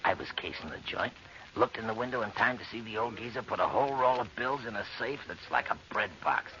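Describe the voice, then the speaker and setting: A man's voice: on the low side, quick pace, pushed and bright. An actor playing a brash, callous burglar who bullies and wheedles in slangy tough-guy talk in an old radio horror drama. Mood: pushy, confident. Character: brash, callous male burglar, pushy and scornful. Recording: muffled, noisy old radio recording.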